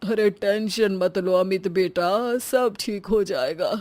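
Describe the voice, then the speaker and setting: A woman voicing a gentle elderly father in a cartoon story, speaking softly and slowly. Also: mid-range voice, dry recording, lightly acted.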